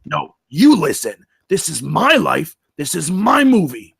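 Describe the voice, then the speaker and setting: A man playing a vain, insecure Bigfoot with a raspy, gravelly voice in a comedic table read. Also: fairly high pitch, big pitch swings, a little slow, fairly bright tone, full character voice. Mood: defensive, indignant. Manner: needy, dramatic, swinging from sulky to indignant. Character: vain, insecure, excitable male Bigfoot, amateur movie star.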